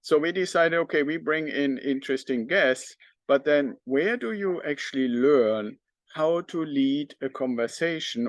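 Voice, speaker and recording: A man's voice: medium pitch, dry recording. German-accented man hosting a podcast, close dry sound. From northern Germany. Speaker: podcast host. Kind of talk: unscripted talk to the audience.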